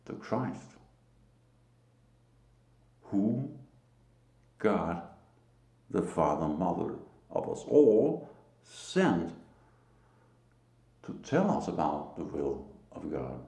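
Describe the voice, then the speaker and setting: A man from Denmark with a Danish accent, giving a solo religious talk to camera in a halting, emphatic way. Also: sits low, sing-song, dark tone, reverberant. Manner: slow, halting, emphatic, with short laughs. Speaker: lay preacher.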